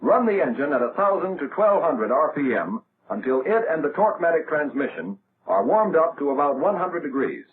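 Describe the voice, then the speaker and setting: High-pitched American man, tinny 1940s film narration. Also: sing-song, moderate pace. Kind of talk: scripted narration of a 1940s military instructional film. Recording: tinny, band-limited, noisy old film soundtrack.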